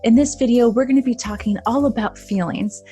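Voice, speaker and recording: A woman presenting a children's read-aloud, explaining things clearly and simply for young listeners as the book's author. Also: a little high, a little fast, dark tone, faint music behind. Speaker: presenter, author reading own book.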